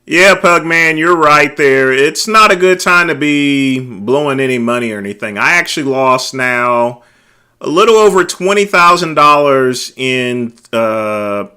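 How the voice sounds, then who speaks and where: A middle-aged man hosting a casual late-night livestream, talking loudly and easygoing, chatting with his viewers. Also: mid-range voice, expressive, unhurried, clean tone. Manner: loud, laid-back, drawls filler words like "there". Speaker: livestream host.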